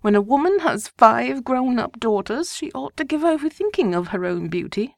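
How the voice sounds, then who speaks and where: A gushing, insistent middle-aged mother, voiced by a woman reader, rises high and swoops through every line. Her talk is fussy and breathless with gossip. The audiobook sound is close and dry. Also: animated, moderate pace, vocal fry, no echo. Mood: pleading.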